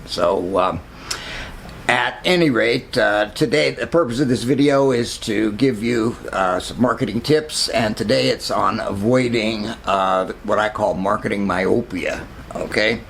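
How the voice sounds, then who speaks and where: An older man, a longtime marketing professor, giving a casual video lecture in a gravelly voice, pausing often with fillers and restarts. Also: on the low side, a bit bright, slight background noise. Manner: halting, many fillers and restarts.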